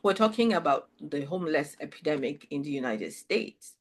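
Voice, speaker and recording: A woman's voice: low-pitched, lively intonation, normal speed. Reflective woman hosting. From Nigeria. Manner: thoughtful, restarts sentences, reflective. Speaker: host. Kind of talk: interview chat.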